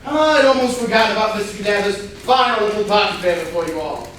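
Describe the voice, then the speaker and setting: A woman's voice: mid-range voice, a bit bright, close to an everyday voice. Woman doing a stately formal butler, stage comedy, super echoey, mic way far off. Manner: formal, deliberate. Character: formal, theatrical butler presiding over a murder game. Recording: very echoey room, distant mic, faint noise.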